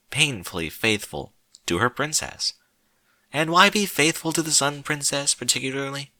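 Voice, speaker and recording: A man reading a short story aloud in an expressive, theatrical narrator's style with wry, emphatic phrasing. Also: mid-range voice, no room sound.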